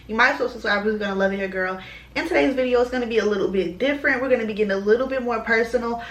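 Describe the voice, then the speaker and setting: A woman's voice: on the high side, racing, a bit bright, echoey room. A woman, chatting casually to her camera, rambling with lots of ums and slang. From Chicago, US. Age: 20s. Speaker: lifestyle vlogger.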